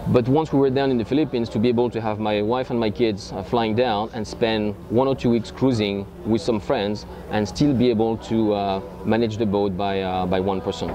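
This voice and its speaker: A man's voice: fairly low pitch, steady tone, normal speed, hardly any pauses, crowd noise. A man with a French accent, answering interview questions hesitantly with many ums and uhs, outdoors at a marina. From France. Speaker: boat owner.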